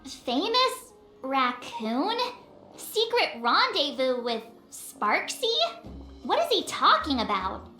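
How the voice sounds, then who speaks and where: A woman's voice: high voice, very animated, takes it slowly, pauses often, bright, forceful tone, echoey room, faint music behind, full character voice. A woman voicing a perky, easily offended teenage girl adventurer in an anime-style video game. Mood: puzzled.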